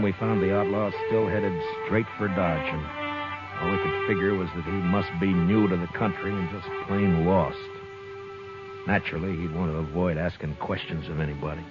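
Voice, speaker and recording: An actor playing a stern, weary US marshal in a 1950s radio western, talking and narrating in a terse, firm way. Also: male voice, sits low, close to an everyday voice. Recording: muffled old radio recording with music.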